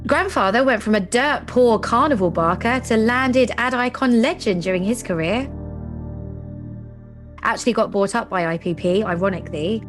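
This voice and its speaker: A woman playing a composed, commanding ad agency executive in an audio drama, speaking deliberately. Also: on the high side, music in the background, close to an everyday voice. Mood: knowing, grave.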